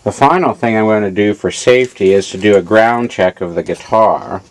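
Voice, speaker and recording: Low-voiced man giving a technical demo. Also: normal speed. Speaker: amplifier repair hobbyist demonstrating his work. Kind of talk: informal hands-on technical demonstration.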